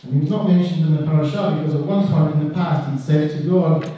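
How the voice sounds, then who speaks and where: A middle-aged man with a heavy Israeli accent, a clinical social worker speaking slowly and deliberately to an audience, with pauses and soft drops in his voice. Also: a little high. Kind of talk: public speech to an audience. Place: large hall. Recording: distant, echoey, dull hall recording.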